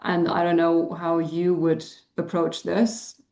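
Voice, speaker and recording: Hesitant woman caller from Germany, slightly low voice, roomy echo on the line. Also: monotone, takes it slowly, darkish tone. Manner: hesitant, lots of um, laughs nervously. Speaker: audience member asking a question. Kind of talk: live video call Q&A.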